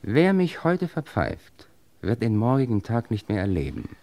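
An actor playing a smug gang boss in an old German radio crime drama, speaking deliberately with suave, condescending menace. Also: male voice, fairly low pitch, wildly swooping pitch, warm tone, full character voice. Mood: cool menace. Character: menacing, smug gang boss, coolly threatening his accomplice.

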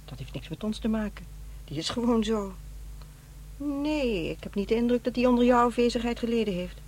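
A woman's voice: fairly high pitch, expressive, fairly quick, a little mellow, lightly acted. An actress playing a matter-of-fact, defensive middle-aged divorced mother in a radio play, with a dry, blunt delivery. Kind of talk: Dutch radio drama acting. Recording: noisy, humming radio play recording.

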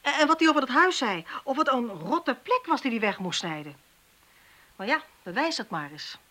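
An actress in a radio drama playing a brisk female official who questions people curtly. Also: a little high, very animated, pushed and bright, heavily acted. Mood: blunt. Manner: probing, curt.

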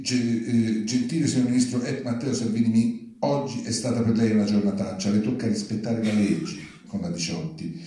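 A man reading his own satirical writing aloud at a live event, with a deadpan, mock-formal politeness. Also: fairly low pitch. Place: large reverberant venue at a live reading event. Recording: very echoey live public venue.

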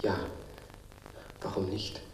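An actor playing a timid, insecure petitioner in his thirties, halting and plaintive, in a radio satire. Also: lightly acted. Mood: timid, nervous. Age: 30s. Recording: echoey room, far off, faint noise.

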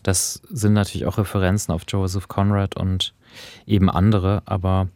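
A man's voice: sits low, little pitch movement, normal speed, darkish tone, dry recording. A man hosting a cultural podcast, discussing reflectively and searching thoughtfully for his phrasing. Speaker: podcast host.

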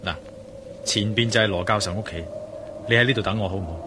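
An actor playing an inquisitive, bold male adventurer in a radio drama, with a Hong Kong Cantonese accent and a deliberate, pondering manner. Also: lowish voice, noisy background, natural voice. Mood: pondering, determined. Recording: radio drama with clear music behind.

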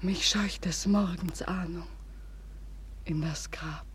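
An actress playing a despairing young woman in a 1940s German radio drama, with slow, breathy, solemn declamation on a noisy old recording. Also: lowish voice, steady tone, full character voice. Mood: anguished, visionary. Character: despairing young woman bidding farewell before suicide.

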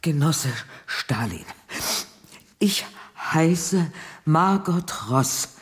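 Gaunt camp prisoner, low-key and halting, rough husky tone, expressive pitch, radio play. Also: female voice, full character voice. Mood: timid, halting. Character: starved, subdued female prisoner back from Siberian camp. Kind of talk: radio drama acting and first-person narration.

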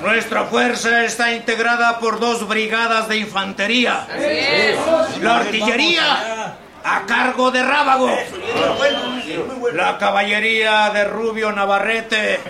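An actor playing a haughty, scheming Mexican army general in a radio drama, with a creaky voice and a curt, authoritative, drawn-out delivery. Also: male voice, high voice, steady tone, over a noisy crowd. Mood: commanding. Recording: music behind the voice.

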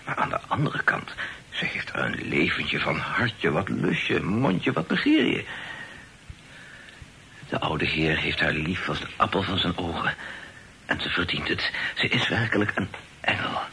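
An actor in a period radio play, voicing a humble, talkative old poet who is effusive, hesitant and deferential. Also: male voice, low voice, sing-song, measured pace, gravelly, long pauses, pushed and bright, exaggerated voice. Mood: confiding. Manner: effusive, hesitant, sighing, repeats himself. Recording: noisy old radio drama recording.

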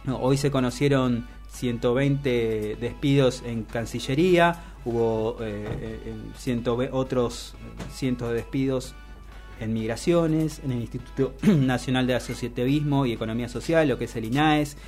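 Halting Argentine radio man over music. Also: medium pitch, darkish tone. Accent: Argentine Spanish. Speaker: radio co-host. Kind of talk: news commentary on radio. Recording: music playing behind the voice.

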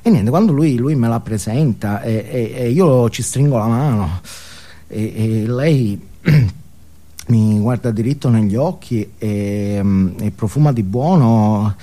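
Halting, neurotic man confessing, played by an actor. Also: medium pitch, mellow, close to an everyday voice. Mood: nervous, earnest. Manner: halting, stammering, repeating words, self-justifying. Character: neurotic, ashamed, self-absorbed man confessing to therapy group. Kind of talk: comic theatrical monologue.

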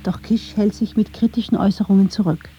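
A woman's voice: medium pitch, fairly even intonation, quick pace, dark tone, dull audio, slight background noise. A woman reading scripted narration for a radio documentary.

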